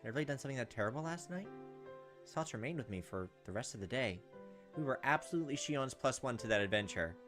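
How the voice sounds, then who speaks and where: A man reading a visual novel aloud as a gaming host, expressive, with casual asides. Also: medium pitch, fast talker, music in the background.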